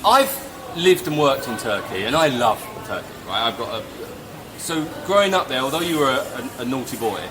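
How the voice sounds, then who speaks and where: Male host, forties, lively up-and-down pitch, jokey questions, noisy background. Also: brisk. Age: 40s. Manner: inquisitive and jokey. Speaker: podcast host. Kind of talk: casual interview chat.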